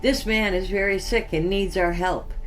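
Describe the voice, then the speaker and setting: A narrator playing a calm, caring woman. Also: medium pitch, moderate pace, faint music behind, close to an everyday voice. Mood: concerned. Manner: calm, reassuring.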